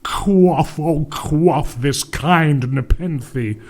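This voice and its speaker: A man's voice: fairly high pitch, very slow, a little mellow, pitched above the performer's own voice. A man voicing a tormented, grieving man who pleads and rages at a raven in a dramatic poem, anguished and declamatory. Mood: desperate, imploring. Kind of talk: dramatic poetry recitation.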